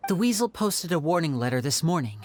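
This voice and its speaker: A woman voicing a polite, smooth-talking young male magician in a video game, courteous and articulate. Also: sits low, sing-song, a little fast, slight background noise. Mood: politely probing.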